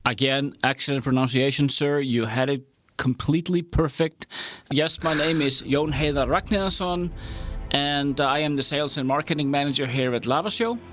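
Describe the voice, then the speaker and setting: A man's voice: fairly high pitch, normal speed, fairly bright tone, faint music behind. A man co-hosting a podcast, friendly and joking, with hesitant fillers. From northeast Iceland. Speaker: podcast co-host. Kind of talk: casual interview conversation.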